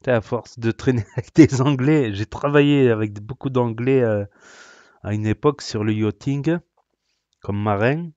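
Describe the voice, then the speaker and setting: A man's voice: medium pitch, moderate pace, warm tone, no room sound, highs cut off. A man hosting a reaction video, sarcastic and rambling, with many hesitations. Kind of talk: casual reaction commentary.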